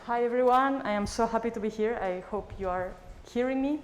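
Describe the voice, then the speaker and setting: Excited woman lecturer from Colombia. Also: on the high side, fairly quick, muffled recording, some chatter behind. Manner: excited, friendly, laughs. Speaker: guest lecturer. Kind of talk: lecture opening. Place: lecture room.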